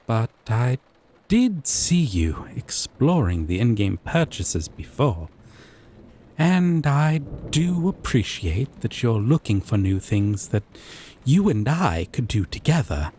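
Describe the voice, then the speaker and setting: A man playing a gentle, obsessive yandere dating-sim character, tender and possessive, in an intimate scripted audio roleplay with a lingering, coaxing delivery. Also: medium pitch, sing-song, slightly rough, exaggerated voice. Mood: amused, teasing. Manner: slow, lingering, coaxing, softly teasing.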